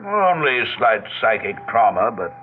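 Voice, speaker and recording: An actor playing an assured, learned doctor in a 1970s radio drama, speaking with precise, courteous, pedantic care. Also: male voice, lowish voice, very animated, moderate pace, a little hiss or hum. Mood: assured, explanatory. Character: assured, learned male doctor who believes in telepathy. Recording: muffled, narrow-band 1970s radio recording.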